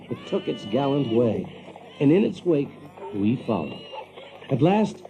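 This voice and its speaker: A man's voice: medium pitch, low-quality recording. An actor playing a brash, practical Yankee factory man who tells his tale in a folksy, chuckling way for an audio drama. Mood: wry, marveling. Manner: folksy, chuckling, animated, drawling emphasis. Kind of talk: audio drama first-person storytelling.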